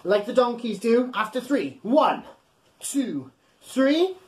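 A man's voice: very high-pitched, very animated. A man in his thirties reading aloud to young children in a playful, exaggerated, encouraging way, recorded in a small room at home. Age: 30s. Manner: addresses listeners with questions and pauses. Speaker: children's bedtime storyteller. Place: small home room full of soft toys.

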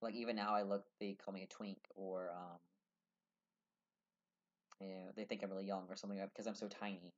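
A man's voice: sits low, flat delivery, fairly quick, pauses often. Earnest young man on lo-fi webcam. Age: young adult. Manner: earnest, rambling, many fillers and restarts. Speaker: vlogger. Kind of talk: casual talk to camera. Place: small room indoors. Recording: dull lo-fi webcam audio.

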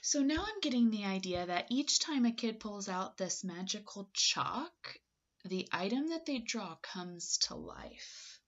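A woman in her thirties with a very lively, swooping delivery, talking children through a picture book. She takes her time, leaning on key words and pausing before prompting with questions. The sound is slightly dull, picked up by a webcam in a room at home. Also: medium pitch. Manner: expressive, dramatic pauses and emphasis, prompting. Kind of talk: animated read-aloud with questions to young listeners.

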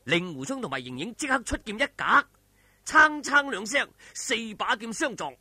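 A man's voice: sits high, big pitch swings, normal speed, sharp tone, husky, no echo, studio quality. A man with a Hong Kong Cantonese accent telling a martial arts story in a dramatic, colloquial way, with exclamations and vocal sound effects. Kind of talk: animated oral storytelling of a martial arts novel.

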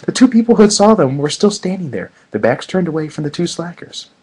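A man's voice: a little high, sing-song, fast, a little mellow, slightly rough. A man doing a comedic reading of fan fiction in a mock-serious manner with sarcastic asides.

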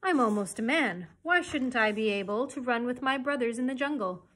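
A woman's voice: medium pitch, normal speed, husky. A woman voicing a proud, headstrong young boy in a storybook, speaking earnestly and insistently. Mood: defiant, boastful. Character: proud, headstrong human boy raised by wolves.

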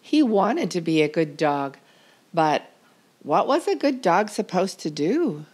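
A woman reading a children's story aloud in a deliberate, expressive storytelling manner. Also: on the low side, breathy edge. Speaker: storybook reader.

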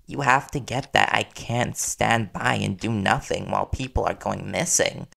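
Guy acting as worried reporter boyfriend in an audio rp, lowish gravelly voice, earnest n soothing, hesitant pauses. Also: sharp tone, heavily acted. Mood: urgent pleading. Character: worried, devoted young male reporter boyfriend, gently pleading. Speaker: voice actor in audio roleplay.

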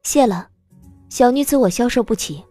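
A woman's voice: a little high, lively intonation, normal speed, dark tone, soft background music. A woman voicing a mature, elegant young lady in an audiobook drama, cool, curt and sarcastic. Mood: coolly sarcastic.

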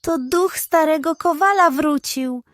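A woman's voice: sits high, unhurried, no room sound, voice pushed up. A woman voicing a gossipy, frightened female squirrel in a children's bedtime story. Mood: hushed gossip. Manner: whispery gossip, tearful exclaiming.

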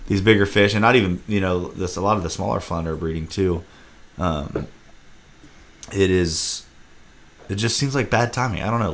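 A young man with a drawl and a very deep, low voice. He hosts a laid-back podcast from an indoor room over a video call and rambles loosely, restarting his sentences as he goes. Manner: relaxed, rambling, talks through restarts. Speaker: podcast host and fishing guide. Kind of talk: casual podcast chat.

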